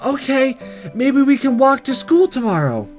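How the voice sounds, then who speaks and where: A man voicing a gentle, worried, motherly schoolgirl class representative in a visual novel read aloud. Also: extremely high pitch, very animated, normal speed, faint music behind, voice pushed up. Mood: friendly.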